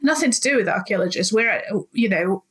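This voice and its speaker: A woman from Leeds with an English accent, mostly southern with faint Yorkshire traces, hosting a podcast in an informal, giggly, expressive way. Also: mid-range voice, fairly quick, breathy edge. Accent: English, mostly southern with faded Yorkshire traces. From Leeds, Yorkshire, England. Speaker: podcast host. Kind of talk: chatty podcast interview.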